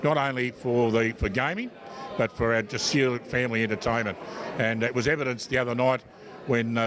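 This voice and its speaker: Australian man over a busy crowd. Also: mid-range voice. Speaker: club chairman, interviewee. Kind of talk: informal celebratory interview. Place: entertainment venue during a party. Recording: loud party crowd behind.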